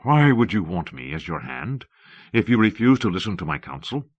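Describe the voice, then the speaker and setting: A man's voice: low-pitched, very animated, moderate pace, dry recording, highs cut off. A man voicing a stern, principled lord and counsellor in an audiobook, formal and direct. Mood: firm, frustrated. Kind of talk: audiobook character acting.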